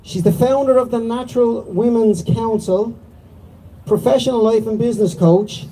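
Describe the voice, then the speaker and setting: A man's voice: very high voice, normal speed, mellow, slight background noise, low-quality recording. A man acting as compere at a rally, introducing speakers in a loose, chatty way. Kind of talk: casual announcing.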